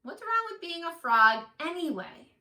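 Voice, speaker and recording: A woman's voice: very high-pitched, big pitch swings, normal speed, sharp tone, echoey room, voice pushed up, exaggerated voice. A woman voicing a small, stubborn, discontented young frog from a children's storybook, pleading with whiny, eager questions. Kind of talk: children's storybook read-aloud.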